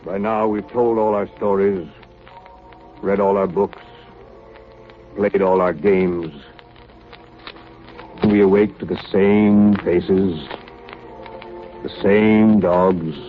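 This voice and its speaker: An actor playing a reserved, weary captain of an icebound ship, measured and solemn, in 1930s radio drama style. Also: male voice, lowish voice. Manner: slow, measured, deliberate. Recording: tinny, narrow 1930s radio recording.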